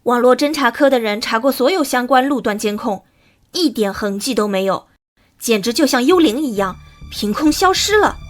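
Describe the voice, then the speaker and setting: Woman acting a female cop sidekick, high voice, frank, needling, animated drama, faint music. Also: normal speed, lightly acted. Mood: businesslike. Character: teasing, perceptive female police colleague of the detective.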